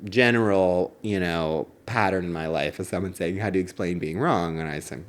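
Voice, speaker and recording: A man co-hosting a casual comedic podcast, telling stories in a halting, rambling way full of filler words. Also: low voice. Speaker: podcast co-host.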